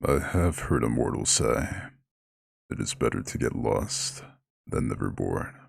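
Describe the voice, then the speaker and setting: An ancient eldritch god for an ASMR roleplay, rumbles at an extremely low pitch with heavy, gravelly fry. He speaks with lordly, formal pride and a caretaker's tenderness, his intonation moving freely in a close, dry recording. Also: expressive, no echo, exaggerated voice. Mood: gentle, consoling. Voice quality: very deep, gravelly, husky growl. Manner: lordly, formal, slow comforting address. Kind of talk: scripted ASMR roleplay monologue.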